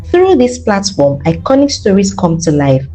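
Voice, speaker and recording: A woman's voice: mid-range voice, huge pitch swings, normal speed, mellow, rough recording. A woman with a Nigerian accent presenting arts news in a scripted narration style.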